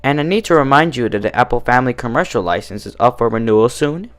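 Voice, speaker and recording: A man acts out an officious stallion bureaucrat from a cartoon fan story. He speaks in measured, formal phrases with a politely condescending air. His pitch moves around in a lively way. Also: natural voice. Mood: smug, officious. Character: officious, smug male pony bureaucrat behind a desk. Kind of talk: cartoon fan fiction character reading.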